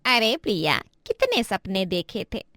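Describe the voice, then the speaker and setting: A woman's voice: medium pitch, measured pace, dry recording, clean studio sound, heavily acted. A woman playing a mocking, gossiping neighbour in a story drama. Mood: taunting. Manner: taunting, lively gossip.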